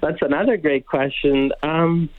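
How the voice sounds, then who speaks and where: A man's voice: fairly high pitch, a little slow, no echo. A man from Argentina, a finance instructor, explaining things patiently in a radio interview with frequent restarts. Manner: explanatory, many restarts, laughs easily. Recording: tinny phone line.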